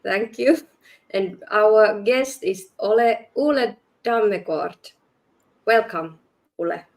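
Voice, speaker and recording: A woman's voice: mid-range voice, slow pace, darkish tone. A woman hosting a live stream, carefully welcoming the audience and her guest. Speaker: host.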